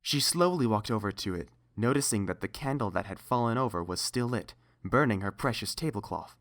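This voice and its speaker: A woman's voice: rumbling low, lively intonation, brisk. A woman reading a scripted story aloud as the narrator.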